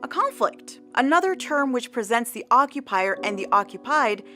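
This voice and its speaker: A woman narrating softly with sweeping intonation. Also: on the high side, big pitch swings, moderate pace, soft background music.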